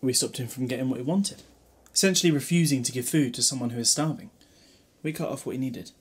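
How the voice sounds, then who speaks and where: A man's voice: medium pitch, quick pace, breathy edge, close to an everyday voice. A British man playing a glib, protective time-travelling hero in an audio drama, wry and chatty with a scolding streak. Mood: brisk, wry. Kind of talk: fan audio drama acting.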